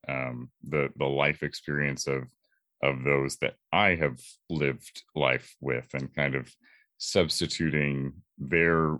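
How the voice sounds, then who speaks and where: Male voice actor interviewee: extremely low bass, unhurried, measured, reflective, pausing often. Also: fairly bright tone, no room sound, clean studio sound. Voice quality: very deep bass timbre. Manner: halting, thoughtful, measured.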